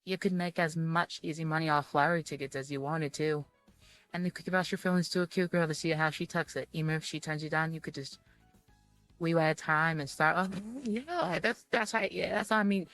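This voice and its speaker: A woman with a fairly low voice talks through a visual novel as she plays it. She reads game text aloud and adds her own comments in a halting, rambling way. Music plays behind her. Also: fairly even intonation, fairly bright tone. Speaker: streamer playing a visual novel. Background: background music.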